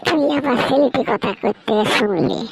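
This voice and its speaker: High, creaky cartoon schoolboy, voiced by a man. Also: expressive, normal speed, background noise, exaggerated voice. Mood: puzzled. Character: cheeky, puzzled schoolboy in class. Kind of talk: comic cartoon dialogue.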